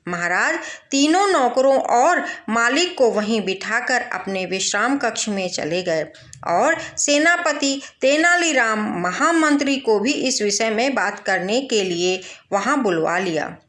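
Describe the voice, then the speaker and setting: Woman, storyteller, folk tale narration, unhurried pace, highish voice. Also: animated, a bit bright.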